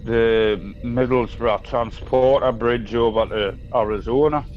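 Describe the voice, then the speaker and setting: A man's voice: mid-range voice, steady tone, a little slow, a little hiss or hum. A man from Newcastle with a Geordie accent, calling in on his phone and chatting in a rambling, hesitant way. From Newcastle upon Tyne. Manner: rambling, hesitant, lots of ums. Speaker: caller. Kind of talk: casual chat. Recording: dull muffled phone mic.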